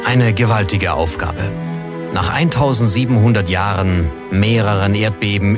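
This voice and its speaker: Low-voiced male documentary narrator over music. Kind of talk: scripted narration. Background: background music.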